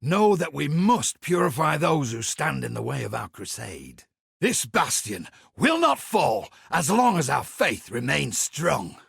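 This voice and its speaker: A man voicing a zealous, wry templar priest in a video game, with a gravelly, raspy voice and a preachy, theatrical delivery. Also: on the high side, very animated, a little slow, fairly bright tone, no echo, studio quality, heavily acted. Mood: resolute, zealous. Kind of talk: video game character voice acting.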